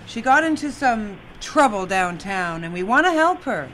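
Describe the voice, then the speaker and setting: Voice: mid-range voice, very animated, moderate pace, fairly bright tone, a little hiss or hum, poor audio. An actor playing a pushy police officer who questions people in a commanding, impatient way.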